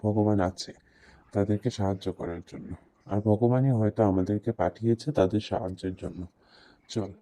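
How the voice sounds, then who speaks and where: A man's voice: lowish voice, steady tone, normal speed, mellow, no echo, lightly acted. A man playing earnest, resolute male characters in a horror cartoon, with emphatic delivery. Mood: determined. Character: earnest, resolute men of a horror cartoon: heroes, villager, policeman. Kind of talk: cartoon dialogue acting.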